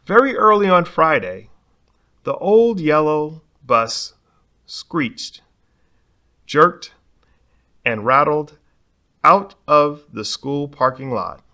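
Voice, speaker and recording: A man's voice: fairly high pitch, animated, takes it slowly, pauses often, sharp tone. A man reading a children's picture book aloud expressively, putting dramatic emphasis on key words. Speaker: storybook reader.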